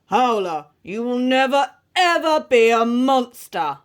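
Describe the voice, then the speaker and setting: A woman voicing a stern male ogre headmaster in a children's storybook, booming and pronouncing each phrase. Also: sing-song, takes it slowly.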